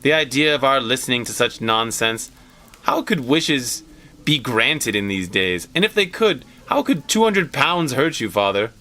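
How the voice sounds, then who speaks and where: A man's voice: medium pitch, lively intonation, unhurried, pushed and bright. A man voicing a fussy, scoffing old wife and mother in a storybook, with a chiding, questioning manner.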